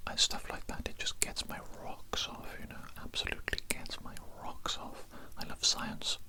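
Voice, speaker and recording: A man's voice: normal speed, lightly acted. A man voicing an evil cannibal doctor in a whispered ASMR roleplay, formal and politely domineering, repeating himself as he asserts control. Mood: smug, menacing. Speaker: ASMR performer.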